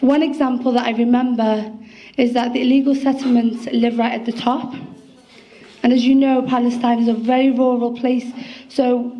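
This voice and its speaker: A woman's voice: fairly high pitch, flat delivery, normal speed, highs cut off. A young woman in her twenties with a Liverpool accent, a guest poet speaking earnestly to an audience, with some fillers. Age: 20s.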